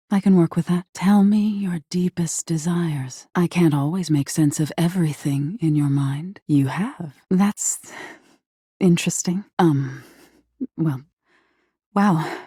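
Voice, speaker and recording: A woman voicing an eerie, thoughtful nightmare spirit in a video game, measured and breathy, often slipping toward a whisper. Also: low voice, dark tone, no echo, studio quality. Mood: awkward, curious. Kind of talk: scripted game character acting, intimate second-person storytelling.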